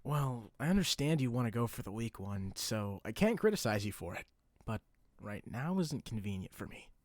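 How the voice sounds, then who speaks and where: A man's voice: medium pitch, very animated, moderate pace, a little mellow, dry recording, clean studio sound, natural voice. A man voicing a cocky, hot-headed young shut-in in an anime fan dub, with a brash, taunting, theatrical delivery. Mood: sarcastic, taunting.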